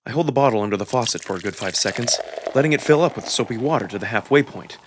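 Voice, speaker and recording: A man's voice: mid-range voice, lively intonation, quick pace, background noise. Man narrating a dramatic first-person story. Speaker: narrator and voice actor.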